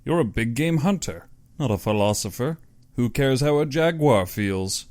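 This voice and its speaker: A man's voice: medium pitch, lively intonation, normal speed, darkish tone, no room sound, pitched above the performer's own voice, natural voice. A man voicing a pragmatic, dismissive big-game hunter in an audiobook, blunt and self-assured. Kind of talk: audiobook character dialogue.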